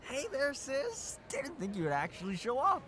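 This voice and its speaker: An actor playing a drunk, teasing brother at a beer festival in a video game, with a slurred, joking drawl. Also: male voice, very high voice, huge pitch swings, moderate pace, pushed and bright, some chatter behind, heavily acted. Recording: music behind the voice.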